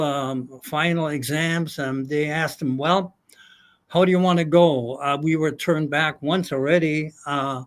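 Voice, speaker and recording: An older man from Germany telling his life story, halting with many fillers. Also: fairly high pitch. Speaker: guest. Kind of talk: casual storytelling.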